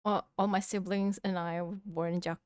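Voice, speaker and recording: A woman's voice: mid-range voice, level tone, normal speed, a little mellow, clear, resonant tone, dry recording, studio quality. A woman from Indonesia, an English speaker, answering interview questions hesitantly with many fillers. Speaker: guest, real estate investor. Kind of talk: casual interview.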